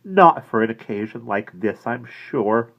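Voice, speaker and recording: A man's voice: mid-range voice, animated, a little slow, pitched above the performer's own voice. A man voicing an anxious young woman who is pleading urgently and breathlessly to find her missing fiancé. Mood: subdued. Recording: dull lo-fi recording.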